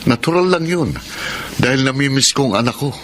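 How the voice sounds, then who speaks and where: A man's voice: medium pitch, lively intonation, fairly quick, fairly bright tone, slight background noise, natural voice. A man playing a patient, reasoning estranged husband and father in a radio drama. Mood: calm, reasoning. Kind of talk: Tagalog radio drama acting.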